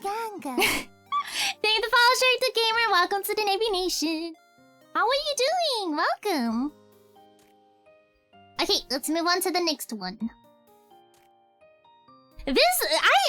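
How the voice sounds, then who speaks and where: A young woman with a very high voice chats on a livestream, rambling and giggling as she answers viewer questions. She often corrects herself mid-sentence. Soft music plays faintly behind her. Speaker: streamer and cover singer. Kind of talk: casual livestream Q&A chat.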